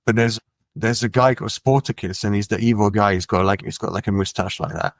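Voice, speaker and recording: Middle-aged man from France, lowish voice, laid-back rambling guest, recorded dry and close. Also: fairly quick, no room sound. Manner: relaxed, musing, trails off with fillers. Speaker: musician and label head, guest. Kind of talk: casual interview chat.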